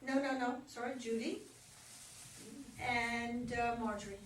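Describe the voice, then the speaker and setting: A woman's voice: on the high side, fairly even intonation, measured pace. A woman informally hosting a play reading, organizing and directing the readers. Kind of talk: informal live introduction. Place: echoey room at a live event. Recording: echoey room, distant mic, noisy.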